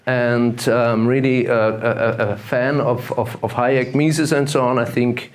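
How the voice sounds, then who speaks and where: Austrian guy giving a conference talk, lots of uhs and restarts, average pitch. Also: level tone. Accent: Austrian German. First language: German. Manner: halting, with many fillers and restarts. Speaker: conference speaker. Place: conference room with audience.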